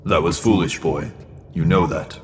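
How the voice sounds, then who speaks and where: A man's voice: low-pitched, steady tone, moderate pace, a little mellow, close to an everyday voice. A man playing a stern, cryptic mentor in a sci-fi audio drama, grave and admonishing. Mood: reproving. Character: stern, cryptic mentor figure guarding a teenage boy. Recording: long hall reverb, clear background noise.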